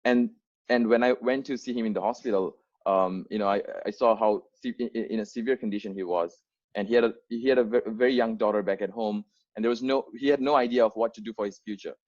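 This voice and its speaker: A man's voice: on the low side, fairly even intonation, quick pace, darkish tone. Earnest young man on a muffled call. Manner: earnest, frequent fillers and restarts. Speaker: founder giving an onboarding talk. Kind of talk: unscripted presentation to volunteers.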